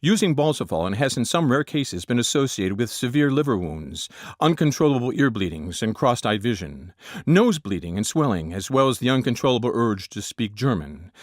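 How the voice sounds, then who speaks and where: A man performs a deadpan commercial announcer for a spoof medicine ad. He sits at a middle pitch and delivers official warnings as matter-of-fact lists, recorded close and dry. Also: moderate pace, dry recording, lightly acted. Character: deadpan drug-commercial announcer reading absurd side effects. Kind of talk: parody pharmaceutical ad narration.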